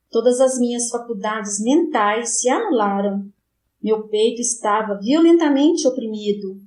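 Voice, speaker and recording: A woman narrating an audiobook in the first person, in a measured, solemn reading. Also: on the high side, a little mellow, a little hiss or hum. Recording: roomy echo.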